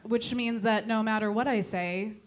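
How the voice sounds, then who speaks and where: A woman's voice: on the high side, steady tone, normal speed, slight background noise. A young woman from Connecticut doing a stand-up set on a club stage, dry and self-deprecating, with a Connecticut accent. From New England, Connecticut. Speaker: stand-up comedian.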